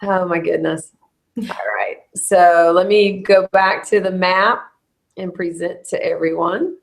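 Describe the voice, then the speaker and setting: Hoarse chatty woman, lowish voice. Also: steady tone. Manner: chatty, quick to laugh. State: hoarse, losing her voice. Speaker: host of a bluebonnet bloom update. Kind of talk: casual live chat with a co-host.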